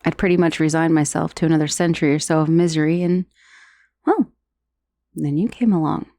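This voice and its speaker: A woman's voice: low-pitched, fairly quick, mellow, no echo. Woman voicing a wry undead severed head, dry close recording. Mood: resigned, curious. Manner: conversational, dryly sardonic, with sighs and hesitations. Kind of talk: ASMR roleplay monologue.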